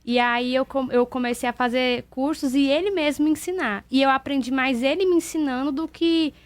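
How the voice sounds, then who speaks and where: A woman with a high voice speaks earnestly and plainly as a radio guest. Her voice is close and dry in the studio, with no room sound around it. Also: moderate pace, no echo. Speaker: interview guest. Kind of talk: radio interview testimony.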